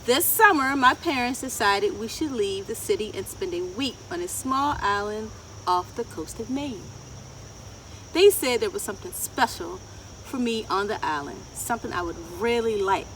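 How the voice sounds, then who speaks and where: A middle-aged woman reading a picture book aloud to children, expressive and deliberate, often putting questions to her listeners. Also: fairly high pitch. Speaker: children's storyteller. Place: outdoors in a wooded garden. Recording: outdoor, distant mic, ambient insect noise. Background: insects and outdoor ambience.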